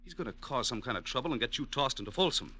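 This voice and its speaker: A tough hoodlum gambler in a vintage radio play. His voice is very bright and hard-edged, and his pitch jumps around a lot while he pushes back. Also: lively intonation, quick pace, slight background noise. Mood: defiant. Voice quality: tough, defiant. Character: defiant hoodlum gambler man. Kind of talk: 1950s radio drama acting.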